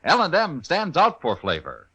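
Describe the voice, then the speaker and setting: A man's voice: a little high, huge pitch swings, normal speed, bright, forceful tone, husky. A man delivering a punchy, emphatic 1950s radio commercial as its announcer. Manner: punchy, emphatic, singsong salesmanship. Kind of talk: 1950s radio advertising pitch.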